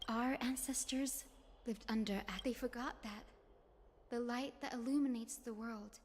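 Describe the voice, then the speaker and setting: A woman playing a gentle, earnest young priestess in a dramatic video game story. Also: on the high side, fairly even intonation, unhurried, slight background noise, natural voice. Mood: reverent. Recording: echoey long reverb, loud game music behind.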